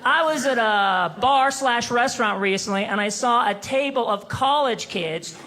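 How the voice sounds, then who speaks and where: Wry fifties comic man, high bright voice. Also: normal speed. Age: 50s. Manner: wry, deadpan storytelling with crisp punchlines. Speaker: stand-up comedian. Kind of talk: stand-up comedy set. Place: comedy club stage.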